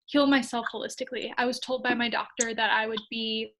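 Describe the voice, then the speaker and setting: A woman's voice: on the high side, flat delivery, a little fast, a bit bright, a little hiss or hum. A woman from upstate New York, a digital marketer and coach, chatting eagerly as an interview guest and laughing often. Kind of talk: casual interview.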